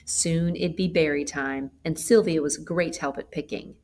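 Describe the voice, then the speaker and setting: A woman reading a literary short story aloud as an expressive narrator. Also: low voice, normal speed. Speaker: story reader.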